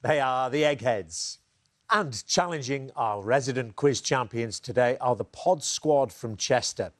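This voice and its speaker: A man's voice: medium pitch, unhurried, a bit bright. A man hosting a TV quiz show, chatty and teasing as he reads the questions. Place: TV studio.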